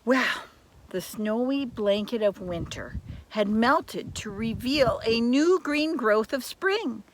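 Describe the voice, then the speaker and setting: High-pitched older woman reading a children's story. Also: animated, a little hiss or hum, poor audio. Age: older, grandmother. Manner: theatrical, slow and deliberate, drops into whispers, repeats words for emphasis. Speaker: storyteller and primary teacher. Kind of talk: dramatic children's story reading with personal asides.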